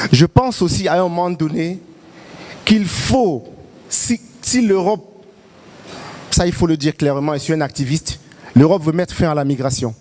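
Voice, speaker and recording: A man speaking as an activist on a panel, making emphatic, reflective points and slowing on key phrases. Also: a little high, a little mellow. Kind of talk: public debate remarks.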